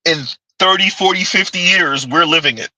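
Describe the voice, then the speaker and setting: Male stream host, high and sharp-toned, casual chat-reading commentary, laughs a lot. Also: moderate pace, no room sound, poor audio. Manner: laughs often, talks back to chat. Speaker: livestream host.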